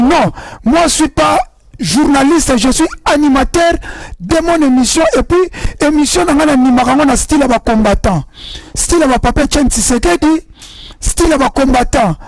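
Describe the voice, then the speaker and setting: A man's voice: extremely high pitch, dry recording, poor audio. A young man from Congo with a Congolese accent, mixing French and Lingala as he hosts a rallying talk show monologue.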